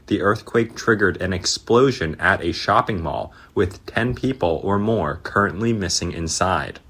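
A man delivering a scripted radio news report in an even, read-aloud manner. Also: low voice.

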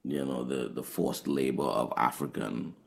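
Trinidadian guy talking about his music in an interview, deep gravelly voice, keeps restarting sentences. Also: fairly even intonation, unhurried. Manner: earnest, restarts phrases, builds explanations. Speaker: touring jazz musician, guest. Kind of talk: interview answers.

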